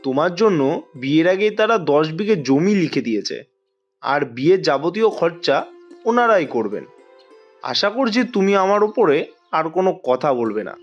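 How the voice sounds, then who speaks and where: A man's voice: a little high, big pitch swings, unhurried, faint music behind. A man playing an insistent middle-aged village uncle in a cartoon, persuasive and emphatic, on a dull recording. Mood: coaxing, firm.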